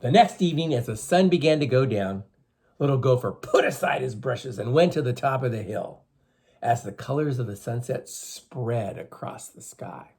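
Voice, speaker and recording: A man reading a story aloud to schoolchildren in an expressive, teacherly way, with emphatic phrasing. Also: mid-range voice, moderate pace, slightly rough. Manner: teacherly, emphatic, addresses listeners with questions.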